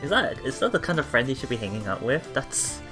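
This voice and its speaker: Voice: medium pitch, very animated, fast talker, over music. A let's player reading visual novel text aloud and musing casually on it as they go. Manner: musing, often thinking aloud.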